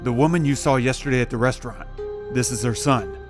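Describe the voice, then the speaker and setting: A mid-pitched, brusque man's voice an actor's performance, curt and impatient. He is an exasperated young businessman in an audio drama, with clear music behind him. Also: quick pace, close to an everyday voice. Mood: awkward, guarded.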